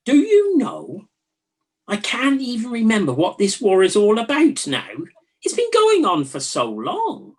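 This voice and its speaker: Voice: medium pitch, wildly swooping pitch, unhurried, a little mellow. A performer playing a bored, blunt Trojan soldier who teases a mate drily in a comic radio play. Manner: dry, mocking, matey banter.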